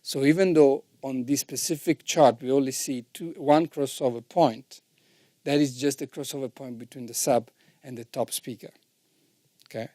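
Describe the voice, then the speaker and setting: A man's voice: medium pitch, fairly even intonation, normal speed, warm tone, dry recording, clean studio sound. A man giving an informal technical lesson as an instructor, explaining step by step and often checking that the listener follows. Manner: didactic, frequently checks understanding. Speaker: sound engineering instructor.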